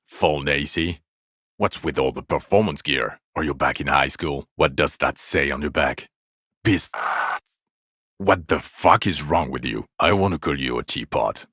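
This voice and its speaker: A jaded video game police detective with a very deep voice, recorded close and dry. He is sardonic and exasperated, delivering his foul-mouthed scorn with biting sarcasm. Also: fairly bright tone, dry recording. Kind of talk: video game character voice acting.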